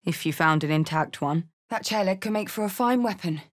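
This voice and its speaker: An actress voicing a tough, gruff, soulful female warrior adventurer in a fantasy video game, speaking in short, blunt lines. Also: fairly low pitch, expressive, a little fast, natural voice. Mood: musing. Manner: blunt, short clipped remarks and incantations. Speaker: video game character actor.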